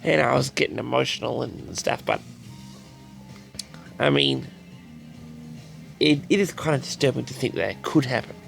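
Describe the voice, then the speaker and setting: A man with a tired, raspy voice, chatting casually and loosely to his audience with many restarts. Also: medium pitch, normal speed, long pauses, a bit bright, soft background music. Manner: rambling, repeats and restarts sentences. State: tired, raspy from a late night. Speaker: audio log host. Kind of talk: casual rambling chat to audience.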